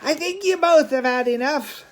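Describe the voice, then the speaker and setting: A man voicing a huge, commanding policeman, loud and stern, in a storybook reading. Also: moderate pace. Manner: booming, authoritative.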